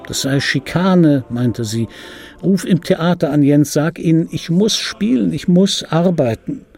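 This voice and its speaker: An actor playing a bitter middle-aged dubbing actor, telling his life in a wry, gravelly, husky voice in for a radio play. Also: medium pitch, darkish tone. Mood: indignant, wry. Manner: wry, conversational, self-interrupting. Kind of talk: radio play monologue, intimate storytelling.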